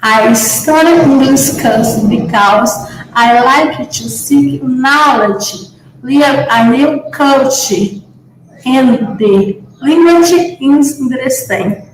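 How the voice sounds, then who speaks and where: Brazilian-accented woman, forties, higher voice, slow careful english, echoey room. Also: slight background noise. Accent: Brazilian Portuguese. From Minas Gerais, Brazil. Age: 40s. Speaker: language student.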